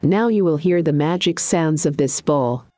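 A woman guiding a meditation in a calm, deliberate, soothing way, with pauses between short phrases. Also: low-pitched, dry recording, faint music behind. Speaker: meditation guide and coach. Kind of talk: guided meditation narration.

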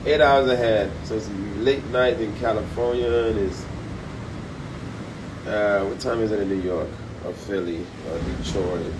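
A man livestreaming from a high-rise room by the beach on a phone at arm's length, talking loud, profane and animated. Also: measured pace, noisy background. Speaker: comedian livestreaming. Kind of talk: casual live stream chat. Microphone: phone held at arm's length.

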